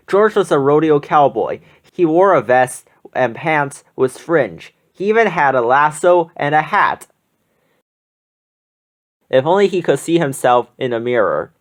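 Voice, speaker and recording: An animated reader tells a children's picture book aloud. The pitch sits in the middle and moves up and down widely, giving the narration an expressive, storytelling shape. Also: unhurried.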